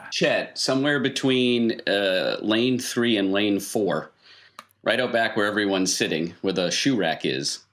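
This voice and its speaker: A man narrating a tabletop game as game master, casually improvising and often pausing to think aloud. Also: fairly low pitch, fairly bright tone.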